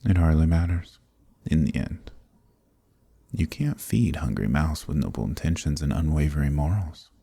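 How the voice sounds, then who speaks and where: An extremely deep-voiced archdemon, acted by a man, ancient and smug, speaks in a dark fantasy ASMR roleplay. The tone is dark and heavily gravelled, with a husky breath to it. Each phrase comes slowly, with condescending, dominant authority. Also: animated, no room sound, heavily acted. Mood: condescending, menacing. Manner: slow, deliberate, condescending, commanding.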